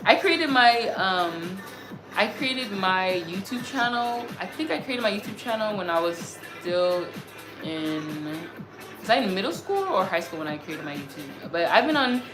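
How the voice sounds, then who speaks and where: A woman's voice: mid-range voice, expressive, normal speed. A woman of about thirty chatting casually on a livestream as its host, reading and answering comments in an earnest, effusive way, repeating herself as she goes. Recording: roomy echo, music behind the voice. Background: music.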